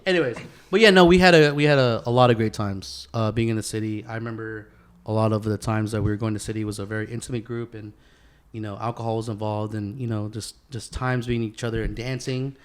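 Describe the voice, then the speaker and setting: A man's voice: fairly low pitch, fairly even intonation, quick pace, clean tone. A man co-hosting a podcast, chatting informally in a loose, rambling way with plenty of slang. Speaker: podcast co-host. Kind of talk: casual podcast chat.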